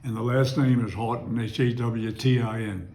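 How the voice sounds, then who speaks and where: A man's voice: mid-range voice, fairly even intonation, moderate pace, a little mellow, roomy sound. Rambling man in his nineties. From Maryland, US. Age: 90s. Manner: rambling, digressive, chuckles while recalling. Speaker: interviewee. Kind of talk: oral history reminiscence.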